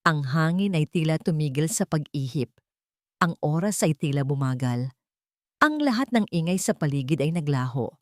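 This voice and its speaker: A woman reading a dramatic scripted story as narrator, with measured, suspenseful delivery. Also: low voice, lively intonation, no room sound, clean studio sound.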